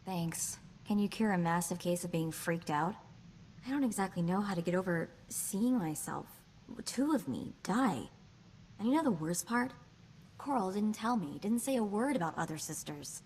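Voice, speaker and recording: A woman voicing a quick-witted, sardonic young female hacker in a space video game, chatty in manner. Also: medium pitch, expressive, darkish tone, slight background noise, lightly acted, rough recording. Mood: shaken, subdued.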